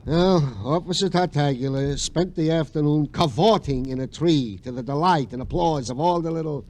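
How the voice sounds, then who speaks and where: An actor playing an excitable, simple-hearted police sergeant in an old radio crime drama, rambling and plaintive. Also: male voice, on the high side, moderate pace, natural voice. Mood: proud, sheepish. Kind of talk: 1940s-50s radio crime drama comic acting. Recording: vintage radio recording with noise.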